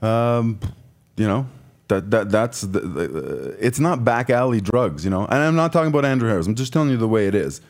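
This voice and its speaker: A man's voice: fairly low pitch, very animated, a little fast. A man on a sports talk panel arguing casually in a blunt, colloquial, opinionated way.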